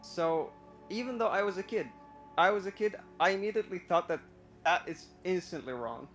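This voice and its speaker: A nervous young man from Iraq with a voice a bit higher than most, stumbling through his story with fillers and restarts. Faint music plays behind him. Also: moderate pace, fairly bright tone. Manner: hesitant, full of fillers and restarts; nervous, admits stage fright. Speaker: caller on a live stream. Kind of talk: casual personal story.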